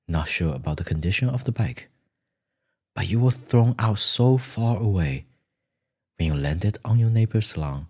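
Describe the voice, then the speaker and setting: A man with a very low voice narrates a relaxing second-person story in an ASMR style. His tone is husky and breathy, sometimes sinking almost into a whisper. He phrases things gently and deliberately, leaving pauses between short phrases.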